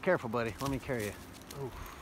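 A man's voice: mid-range voice, quick pace, background noise. A young man giving scripted, tongue-in-cheek voice-over narration for his foraging vlog.

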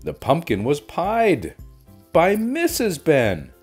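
A man reading a children's storybook aloud in an animated, deliberate storytelling manner. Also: fairly high pitch, slightly rough, faint music behind. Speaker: children's book reader. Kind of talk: expressive storybook read-aloud.

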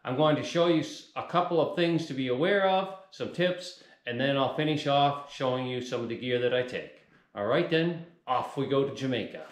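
A man hosting a fishing vlog, talking casually in a folksy, unscripted, halting way. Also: mid-range voice. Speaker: fishing channel host. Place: garage, outdoor beach and shoreline, varied spots.

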